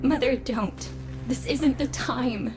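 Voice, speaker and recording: High-voiced, sharp-tongued daughter. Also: female voice, takes it slowly, music in the background. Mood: exasperated, sarcastic. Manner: sarcastic. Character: exasperated, sharp-tongued daughter of a feuding family. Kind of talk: video game voice acting.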